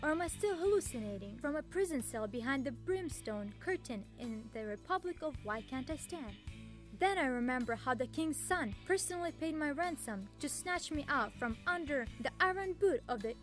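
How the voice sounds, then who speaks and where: Gung-ho Russian female fighter, high voice, grandiose and military, audio drama. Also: animated, normal speed, soft background music. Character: fierce gung-ho female Russian warrior, devoted and trigger-happy.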